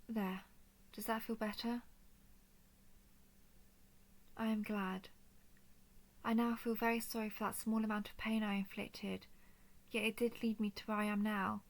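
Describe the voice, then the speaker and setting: Noble dragon lady, played by a woman: solemn, earnest, soft-spoken, flat melody, touch of creak, intimate roleplay monologue. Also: mid-range voice, natural voice. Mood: gentle, cautious. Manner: formal, measured, earnest speech. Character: noble female dragon of a ruling house, gentle, solemn.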